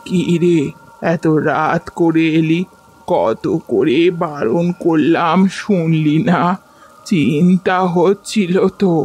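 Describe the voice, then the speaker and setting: A man's voice: fairly high pitch, slow pace, a little mellow, husky. A man voicing an anxious old village grandmother in a horror cartoon, tearful and repeating pleas for the family. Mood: worried scolding. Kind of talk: cartoon horror story voice acting.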